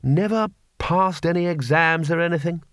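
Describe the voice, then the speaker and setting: Man playing a blustering, high-pitched old wizard. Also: a bit bright, smooth, dry recording, studio quality, lightly acted. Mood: probing. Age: older. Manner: brisk, pompous questioning with old-fashioned hearty phrases. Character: blustering, bossy old wizard arch-chancellor, hearty and commanding.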